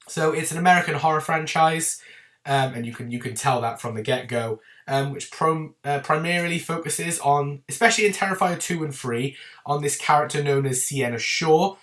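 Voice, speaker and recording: A man's voice: fairly high pitch, fairly bright tone, recorded from a distance. Bunged-up man reviewing films. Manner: chatty and rambling, with many ums and apologies. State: bunged up and slightly rough from a winter illness. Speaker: YouTube channel host. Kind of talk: casual film review to camera.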